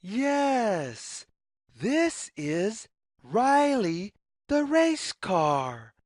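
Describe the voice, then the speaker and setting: A woman reading a story aloud for young children, phrasing deliberately like a teacher and putting questions to the listener. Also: fairly high pitch, big pitch swings, darkish tone, no echo, clean studio sound. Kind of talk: children's story read-aloud, scripted narration.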